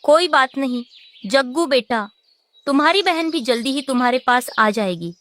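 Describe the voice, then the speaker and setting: A woman's voice: high-pitched, lively intonation, moderate pace, a bit bright. A woman voicing a caring, protective mother bird in a children's cartoon. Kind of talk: animated children's story acting.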